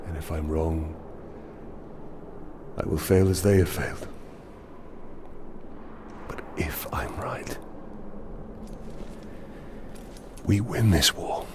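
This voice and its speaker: A man's voice: extremely low pitch, takes it slowly, pauses often, noisy background. A man playing a grim, resolute ranger warrior in a dramatic fantasy video game.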